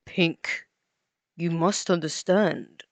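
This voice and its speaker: Woman acting a slow, low-voiced, solemn monster husband. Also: dry recording. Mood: earnest, guilty. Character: guilt-ridden, dutiful male beast husband, tender and solemn. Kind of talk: fan fiction audio drama acting.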